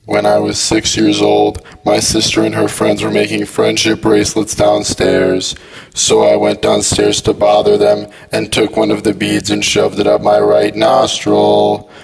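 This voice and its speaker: A man telling a personal anecdote in a deadpan, scripted-sounding way. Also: fairly low pitch, creaky, low-quality recording.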